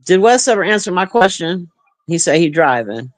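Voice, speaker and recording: Deep-voiced woman, profane and blunt. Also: moderate pace. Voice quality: deep, husky smoker's voice. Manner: profane, blunt, animated banter. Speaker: livestream host reading chat. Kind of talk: casual livestream chat.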